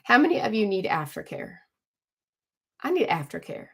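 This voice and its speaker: A woman in her forties hosting a casual live stream from home, chatting with viewers, greeting them by name and often clearing her throat. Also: mid-range voice, sing-song, moderate pace, a bit bright. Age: 40s. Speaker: livestream host.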